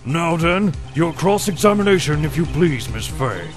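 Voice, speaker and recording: A man playing a higher-voiced formal old judge. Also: big pitch swings, moderate pace, music in the background. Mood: ponderous. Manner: formal, ponderous, questioning. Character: stately old male judge, easily puzzled, formal. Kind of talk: anime game fan dub acting.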